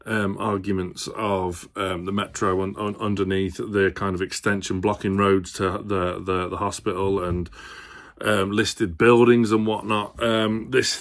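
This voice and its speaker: Bloke with a lowish voice doing a fan channel football chat, kinda hesitant, thinking out loud to viewers. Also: male voice. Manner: hesitant, thinking aloud, hedging. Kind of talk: casual opinion commentary to viewers.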